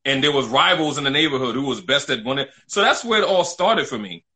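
A man's voice: mid-range voice, lively intonation, brisk, sharp tone. A middle-aged man from New City, telling his own story casually as an interview guest, emphatic and often repeating himself. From New York City, United States.

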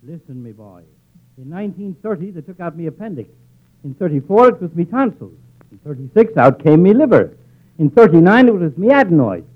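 An actor playing a hefty, middle-aged Irish beat cop, blustering and complaining with an Irish accent in a 1940s radio comedy. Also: male voice, fairly high pitch, sing-song, brisk. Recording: tinny, band-limited old radio recording.

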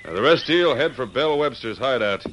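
An actor playing a bold, stubborn outlaw gang leader in an old-time radio western, speaking with decisive command. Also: male voice, medium pitch, lively intonation, quick pace, fairly bright tone, lightly acted, rough recording. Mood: commanding, determined. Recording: vintage radio recording, crowd-like background noise.

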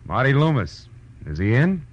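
An American actor playing a tough, wry private detective in a 1940s radio noir style, with sardonic, slangy delivery. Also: male voice, medium pitch, sing-song, normal speed. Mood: cool bluffing. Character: hard-boiled wisecracking male private detective, tough and wry. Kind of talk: 1940s radio noir acting and first-person narration. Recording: muffled old radio recording with music.

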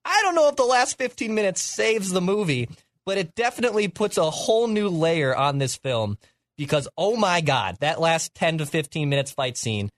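A man's voice: high-pitched, moderate pace, no room sound. Emphatic young man on a dry podcast mic. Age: young adult. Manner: emphatic, animated, exaggerating for effect. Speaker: podcast co-host. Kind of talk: casual chat.

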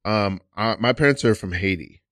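A man in his thirties hosting a casual podcast chat, talking haltingly with many restarts and fillers. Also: lowish voice, a bit bright, no echo, clean studio sound. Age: 30s. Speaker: podcast host. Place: studio. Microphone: studio mic.

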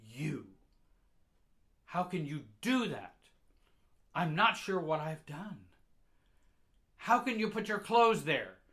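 A man voicing an enraged, abusive mother who shouts accusations and insults at a child. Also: on the high side, big pitch swings, slow, long pauses, pitched above the performer's own voice, full character voice. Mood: furious scolding.

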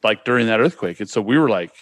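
A man's voice: on the low side, expressive, fast, no room sound. A man in his forties with a Californian accent, chatting casually and slangily as he tells stories. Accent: Californian American. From California, United States. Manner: slangy, says dude and bro. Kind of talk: casual chat, telling childhood stories.

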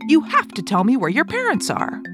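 A woman's voice: medium pitch, very animated, normal speed, over music, close to an everyday voice. A woman voicing an angry, greedy rich man who barks and growls demands in a children's folktale. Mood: impatient, demanding.

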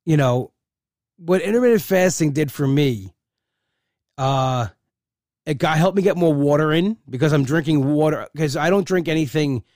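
A man's voice: a little high, moderate pace, darkish tone, dry recording, studio quality. A middle-aged man talking casually and candidly in a rambling personal monologue, often restarting his sentences.

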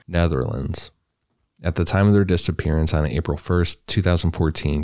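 A man co-hosting a podcast, reading a true crime story in a relaxed, loose way with casual asides. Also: a bass voice, no echo, clean studio sound. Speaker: podcast co-host.

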